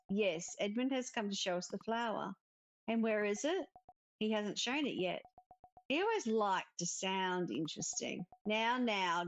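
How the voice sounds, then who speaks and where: A woman reading visual novel dialogue aloud expressively, with casual commentary of her own. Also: mid-range voice. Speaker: let's-player narrator.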